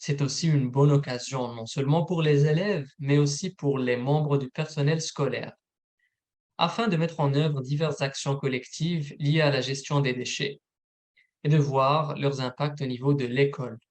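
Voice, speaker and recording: A man, a program manager, presenting a recorded informational webinar in a steady, methodical read. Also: mid-range voice, normal speed.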